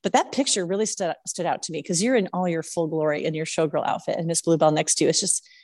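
A woman's voice: low voice, quick pace, a little mellow, no room sound. A woman hosting a podcast, chatting enthusiastically with lots of likes and fillers. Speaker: podcast host. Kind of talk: casual interview chat.

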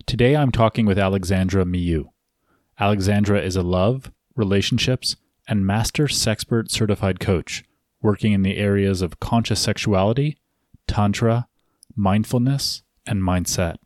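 A man hosting an interview podcast, asking measured, reflective questions. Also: fairly low pitch, a little mellow, no room sound, studio quality. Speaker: podcast host.

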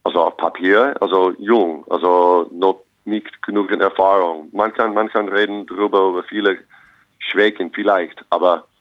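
Young American coach, very low voice, positive and earnest, dry dull recording. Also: male voice, fairly even intonation, moderate pace, dry recording. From United States. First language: English. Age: young adult. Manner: optimistic, earnest, restarts phrases often. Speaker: basketball head coach. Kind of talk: interview guest.

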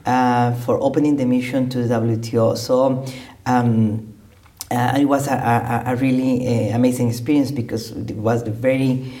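A man's voice: mid-range voice, level tone, moderate pace, warm tone. A middle-aged man from Costa Rica, a trade diplomat answering interview questions with many fillers and restarts. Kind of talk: conversational interview.

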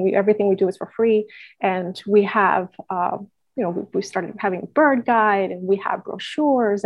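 A woman's voice: mid-range voice, no room sound, dull audio. A woman from Puerto Rico answering interview questions as an organization's staff member, earnestly and with many fillers and restarts. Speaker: guest, nonprofit staff member.

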